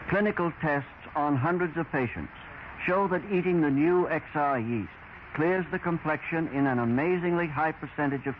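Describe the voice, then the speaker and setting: A man speaking as a doctor, reading a scripted health advertisement in 1930s radio style. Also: fairly high pitch. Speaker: doctor giving a sponsored health talk. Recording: noisy, dull 1930s radio broadcast.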